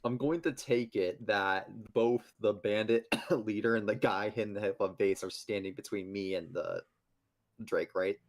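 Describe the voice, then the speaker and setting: A man's voice: lowish voice, unhurried. A man chatting casually as a player in a tabletop role-playing game.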